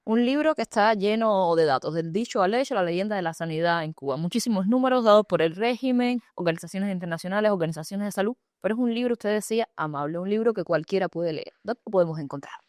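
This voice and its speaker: A woman's voice: mid-range voice, lively intonation, fast, few pauses, a little mellow, no echo, studio quality. A woman interviewer putting questions and remarks to a guest in a prepared interview style.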